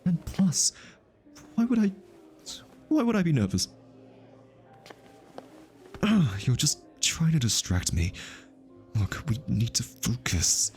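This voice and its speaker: A man playing an easily flustered, defensive, lovestruck hitman in an intimate ASMR roleplay, stammering, sighing and slipping into breathy whispers. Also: a little high, wildly swooping pitch, slow, pauses often, a little mellow, soft background music, exaggerated voice. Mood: urgent.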